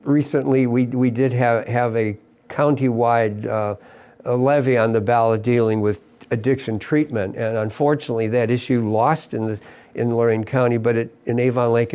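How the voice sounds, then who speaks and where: Earnest, measured middle-aged man. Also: mid-range voice, dark tone. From Ohio, USA. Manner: earnest and measured, with frequent pauses and restarts. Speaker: mayor. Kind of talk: prepared civic statement to camera.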